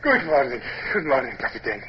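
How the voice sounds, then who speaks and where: Spanish naval officer male actor, flowery and guarded, animated intonation, dull hissy vintage radio drama. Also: mid-range voice, moderate pace, natural voice. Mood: formally courteous. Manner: formal, flowery courtesy. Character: courteous, evasive Spanish naval officer bearing letters. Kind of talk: old radio drama acting. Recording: muffled, noisy old shortwave radio drama.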